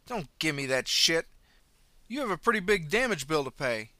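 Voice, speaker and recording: A man voicing a gruff, irritable driver who talks with blunt scorn and sarcasm. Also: on the high side, expressive, unhurried, a bit bright, dry recording. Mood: angry sarcastic.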